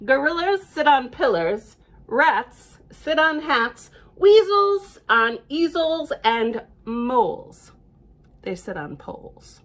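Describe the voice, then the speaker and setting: A woman reading aloud plays a bossy male cat from a picture book who knows everything. He speaks slowly and emphatically, drawing out each pronouncement. The pitch is high and extremely animated. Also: full character voice. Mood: emphatic, bossy. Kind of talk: children's storybook read-aloud.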